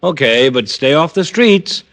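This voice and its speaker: An actor playing a dry, deadpan police lieutenant in an old radio drama. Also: male voice, fairly high pitch, unhurried. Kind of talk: 1950s radio drama acting.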